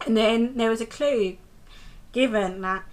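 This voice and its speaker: A woman's voice: fairly high pitch, measured pace. A young British woman doing a casual, rambling review of a TV episode, talking into a handheld camera held close to her face, with lots of ums and restarts. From United Kingdom.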